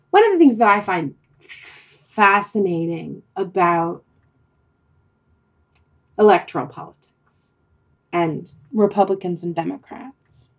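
Female podcast host, middle-aged, low register, conversational, halting and exploratory phrasing. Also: lively intonation. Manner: hesitant, thinking aloud, rambling. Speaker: podcast host leading a discussion. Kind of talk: casual reflective conversation.

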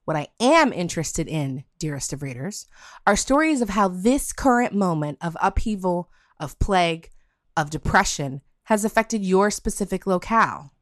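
A woman's voice: on the low side, no room sound, clean studio sound. A woman podcast host reading a scripted topic piece aloud.

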